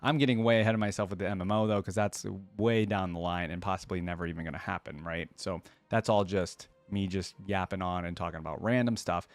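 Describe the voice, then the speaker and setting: A man with a fairly low voice talks casually to his viewers as a video host. He rambles and reasons aloud, often hedging and drifting off track. The sound is dry, with no room echo. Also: steady tone, brisk, hardly any pauses, faint music behind. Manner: rambling, digressive, thinking aloud with hedges. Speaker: video creator, reaction host.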